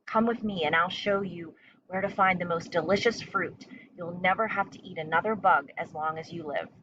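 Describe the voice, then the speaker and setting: A woman's voice: on the low side, normal speed, far from the mic, dull audio, noisy background, close to an everyday voice. A woman voicing a tender, reassuring mother bat in a storybook.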